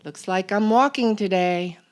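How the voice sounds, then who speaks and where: A woman's voice: mid-range voice, a little slow, clean tone, natural voice. A woman voicing a grumpy little male storybook bird, curt, irritable and sarcastic. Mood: glum.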